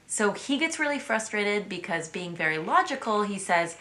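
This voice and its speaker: Bright-voiced chatty American woman. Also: medium pitch, big pitch swings, normal speed. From United States. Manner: chatty and playful, with light asides. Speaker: vlogger. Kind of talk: casual vlog chat to camera.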